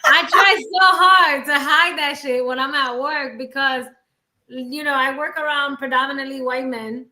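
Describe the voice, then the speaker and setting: Bright-voiced New York woman with Spanish accent. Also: sits high, fairly even intonation, unhurried, reverberant. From New York, the Bronx. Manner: playful, laughs often, slangy. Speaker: podcast co-host. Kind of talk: casual chat.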